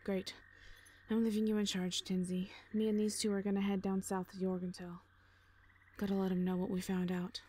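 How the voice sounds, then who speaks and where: Woman acting a calm, measured orc mercenary leader. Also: medium pitch, close to an everyday voice. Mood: steady command. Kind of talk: audio roleplay drama acting.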